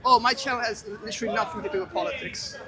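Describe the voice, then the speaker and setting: A man's voice: very high-pitched, racing. A man answering a street interviewer with polite, modest, brief replies. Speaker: passer-by being interviewed. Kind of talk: casual street chat. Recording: crowd noise outdoors.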